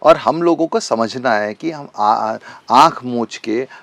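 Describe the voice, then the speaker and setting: Man, medium pitch, emphatic, insistent repetition, impassioned interview answers, dry sound. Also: takes it slowly, fairly bright tone, no echo. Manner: emphatic, insistent, repeats phrases for stress. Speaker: advocate, interview guest.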